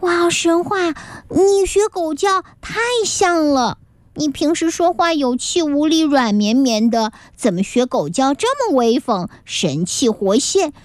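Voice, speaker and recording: A woman's voice: sits high, expressive, normal speed, dry recording. A woman voicing a pouty, teasing young child who turns whiny and squealing, in a children's story. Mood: eager. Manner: whiny, squealing when upset.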